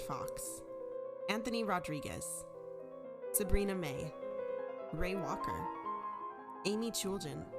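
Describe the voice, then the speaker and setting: A woman reading a scripted announcement as the credits announcer of an audio drama. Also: on the low side. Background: piano music.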